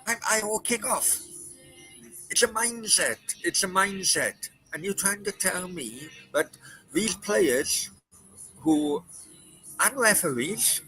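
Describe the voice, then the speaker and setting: Sweary, animated man in his forties. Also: sits high, low-quality recording. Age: 40s. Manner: blunt, sweary, emphatic ranting. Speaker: podcast host. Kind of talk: late-night casual chat.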